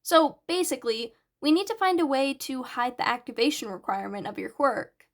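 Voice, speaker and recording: An eager, bright teenage boy, performed by a woman in an audio story. His voice sits fairly high, and he explains things in an earnest, analytical way. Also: lively intonation, brisk. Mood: earnest explaining. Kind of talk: audiobook character dialogue.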